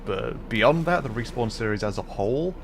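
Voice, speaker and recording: A man's voice: mid-range voice, animated, normal speed, vocal fry, slight background noise. A man livestreaming a game with casual, enthusiastic commentary, musing and explaining as he goes.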